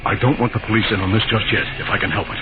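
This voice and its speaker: An actor playing an anxious, secretive banker, urgent and insistent, in 1940s radio drama style. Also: male voice, lowish voice, fairly even intonation, racing, loud music behind the voice, natural voice. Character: anxious, secretive banker in trouble, adult man. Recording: tinny, narrow-band old radio recording.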